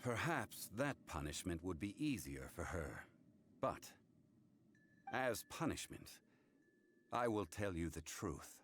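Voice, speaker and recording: Deep, formal, measured scholarly gentleman. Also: very animated, slight background noise, heavily acted. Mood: grave, measured. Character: calm, formal, learned man who enforces contracts. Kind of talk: English video game voice acting.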